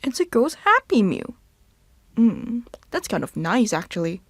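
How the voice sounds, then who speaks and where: A woman's voice: medium pitch, big pitch swings, unhurried, slight background noise. A woman acting a wry, curious young Hong Kong woman in conversational drama dialogue. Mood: amused. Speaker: actor in a student play.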